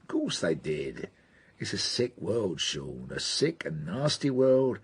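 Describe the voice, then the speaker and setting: An actor playing a blunt, gruff British police detective mentor in a radio drama, sardonic and emphatic, with a husky voice and slangy speech. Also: male voice, low-pitched, very animated, slow, exaggerated voice. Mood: exasperated. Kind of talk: naturalistic radio drama acting.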